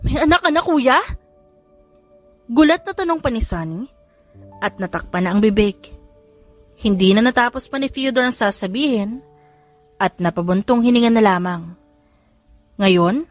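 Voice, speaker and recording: A woman's voice: on the high side, animated, normal speed, long pauses. A woman reading a scripted audiobook story as its narrator. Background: faint music.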